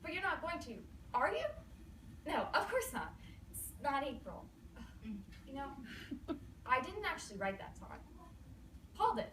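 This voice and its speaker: A woman's voice: high-pitched, sing-song, unhurried, pauses often. A woman performing a comic stage monologue as a quirky, manically lovesick wife refusing divorce, dramatic and pleading. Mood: desperate, lovesick. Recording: roomy, distant mic, faint noise.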